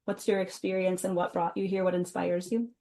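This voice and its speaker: A woman on a video call, talking in a lowish voice with a gravelly, creaky edge. She hosts casually, filling gaps with ums and little restarts as she keeps things moving. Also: little pitch movement, brisk, warm tone. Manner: chatty, frequent ums and restarts. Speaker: webinar moderator and food science advisor. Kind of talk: casual webinar hosting.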